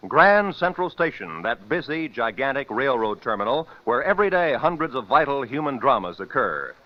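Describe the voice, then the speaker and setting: Network announcer, male, 1940s radio: emphatic, grand, highly animated pitch, muffled vintage sound. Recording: dull vintage radio recording.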